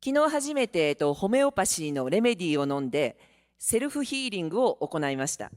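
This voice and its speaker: A woman from Japan asks earnest questions from the audience. She speaks quickly, her pitch rising and falling a great deal. The voice carries a long hall reverb. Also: big pitch swings, a little mellow. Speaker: audience member asking questions. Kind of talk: question from the floor.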